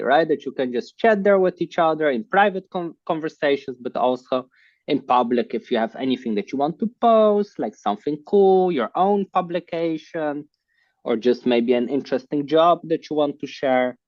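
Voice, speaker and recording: A man hosting an online class on a video call, talking in a halting way with many fillers. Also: on the high side, animated, a little mellow, dry recording. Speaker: online course host. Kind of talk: casual online class talk. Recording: muffled video call audio.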